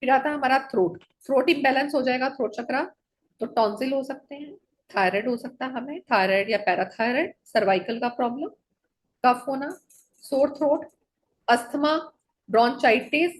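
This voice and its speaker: A woman's voice: high voice, normal speed, slight background noise, poor audio. A woman teaching an online color therapy class, listing one item after another and checking in with her students.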